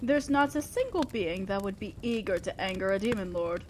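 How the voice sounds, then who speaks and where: A woman's voice: medium pitch, lively intonation, moderate pace, background noise, close to an everyday voice. A woman playing a refined, teasing demon lord in an audio roleplay, addressing a mortal servant with genteel, indulgent, theatrical playfulness. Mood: reassuring.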